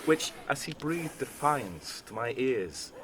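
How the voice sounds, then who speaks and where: A man's voice: mid-range voice, slow, a little hiss or hum. An actor playing an earnest young noble peacemaker, telling his tale with animation in a Shakespearean audio drama. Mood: earnest recounting. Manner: animated storytelling.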